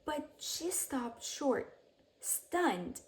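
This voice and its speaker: Woman, high-pitched voice, very slow pace, expressive children's read-aloud, measured phrasing, soft sentence endings. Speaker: children's storybook reader. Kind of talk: expressive read-aloud bedtime story narration.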